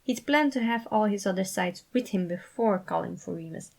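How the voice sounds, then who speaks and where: Casting: female storyteller, medium pitch, scripted fiction narration. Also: normal speed, vocal fry. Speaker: writer and narrator.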